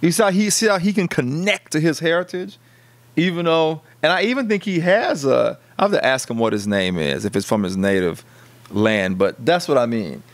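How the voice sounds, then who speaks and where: A man's voice: on the high side, expressive, moderate pace. A middle-aged man co-hosting a podcast, talking casually with many stammered restarts. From United States. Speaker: podcast co-host. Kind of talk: casual discussion.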